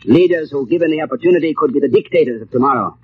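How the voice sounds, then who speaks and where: An actor playing an arrogant, villainous mind-controlling mastermind in an old radio drama, with a suave, menacing, imperious manner. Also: male voice, a little high, very fast, lightly acted. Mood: grandiose, menacing. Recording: tinny, noisy old radio recording.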